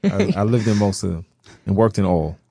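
A man's voice: deep voice, quick pace, gravelly, warm tone, slightly rough, no room sound, clean studio sound. A middle-aged American man from Brooklyn, speaking conversationally as an interview guest. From Brooklyn, New York. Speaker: interview guest, farmer and author.

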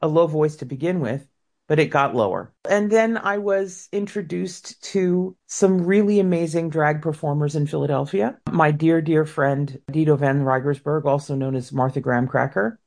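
A woman in her fifties with a low voice, an opera singer reflecting on her career in an interview, often repeating words as she goes. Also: normal speed, gravelly, a little mellow. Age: 50s. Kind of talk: interview answers.

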